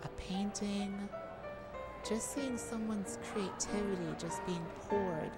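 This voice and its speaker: A woman's voice: medium pitch, fairly even intonation, takes it slowly, natural voice. A woman playing a charming, glamorous lady thief in a romantic ASMR roleplay, coy and teasing, speaking sweetly to the listener. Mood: wistful, tender. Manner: coy, teasing, leisurely with breathy chuckles. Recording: music behind the voice.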